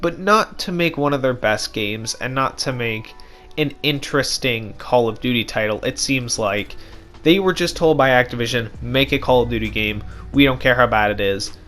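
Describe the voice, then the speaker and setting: A young man talks through a scratchy, hoarse throat in a casual gaming commentary. His delivery is a frustrated, repetitive rant at a middling pitch. Soft background music plays beneath him. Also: normal speed.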